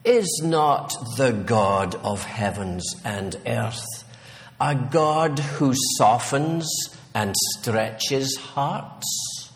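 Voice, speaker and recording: A man voicing an ancient, wise storybook turtle, slow, deliberate and solemn. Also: mid-range voice, expressive, a little hiss or hum, pitched above the performer's own voice. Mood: solemn, patient. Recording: long hall reverb.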